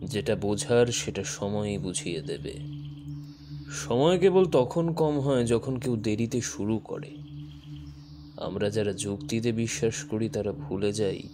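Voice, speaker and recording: A mysterious young investigator played by a man in an audio thriller, low-pitched and deliberate. He is calm, cryptic and quietly menacing, measuring out each phrase over clearly noisy ambience. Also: lightly acted. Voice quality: deep voice. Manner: slow, measured, enigmatic delivery. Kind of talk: audio drama acting.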